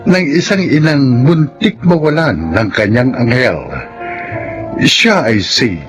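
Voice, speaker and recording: A man's voice: mid-range voice, animated, takes it slowly, faint music behind. A man with a Filipino accent giving a dramatic scripted introduction as a radio drama announcer.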